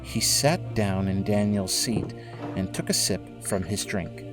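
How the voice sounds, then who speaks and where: A man narrating a scripted dramatic story in a measured, solemn way, with music behind him. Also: fairly low pitch. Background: prominent music.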